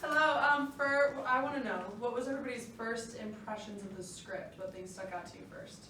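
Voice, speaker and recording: A woman moderating a post-show Q&A, bubbly and excitable. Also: on the high side, a little fast. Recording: very echoey loud noisy hall from far off.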